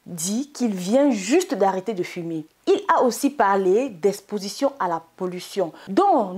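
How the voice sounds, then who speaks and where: Unhurried, expressive woman explaining things. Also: fairly high pitch. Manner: didactic, step-by-step, explains with simple images. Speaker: host of a medicinal plant channel. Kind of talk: explanatory health lesson to camera.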